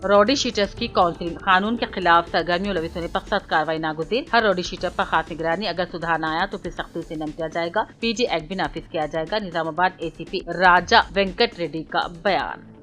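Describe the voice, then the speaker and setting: A woman reads a news bulletin in a fairly low voice. Her delivery is formal and scripted, in the manner of a news reader. Light music plays underneath. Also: fairly quick, fairly bright tone. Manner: formal, reading out items one after another. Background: background music.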